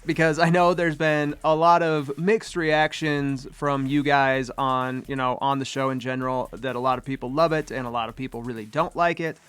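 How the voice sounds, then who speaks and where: A man's voice: mid-range voice, steady tone, normal speed, hardly any pauses, fairly bright tone, clean tone, no echo. A man hosting a casual video commentary, chatty and upbeat, his voice slightly congested as he recovers from a sinus infection. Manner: chatty, upbeat and rambling, with fillers. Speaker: host.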